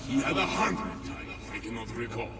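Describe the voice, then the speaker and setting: An actor playing a devoted follower mourning a fallen hero, delivering a hushed, ceremonial eulogy in a video game cutscene. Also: male voice, mid-range voice, animated, normal speed, background noise, close to an everyday voice. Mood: mournful, reverent.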